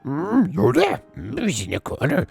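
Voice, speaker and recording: A man putting on a tiny, ancient alien master with a high, gravelly croak that swoops up and down, slow and sing-song, with sentences turned backward. Faint music plays in the background. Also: wildly swooping pitch, darkish tone, heavily acted. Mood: playful. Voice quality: croaky, inverted sentence order. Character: small wise old alien master, backward-talking. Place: home studio.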